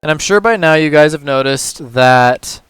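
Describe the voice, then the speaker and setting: A man with a hoarse, tired voice, casually talking through a puzzle game move by move as a gaming channel host. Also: on the high side, moderate pace, soft background music. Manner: relaxed, talks through moves step by step. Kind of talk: casual gameplay commentary.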